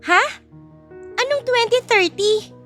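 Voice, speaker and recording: Woman voicing a high-pitched cheeky little girl. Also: slow pace, a bit bright, soft background music, exaggerated voice. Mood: cheeky, puzzled.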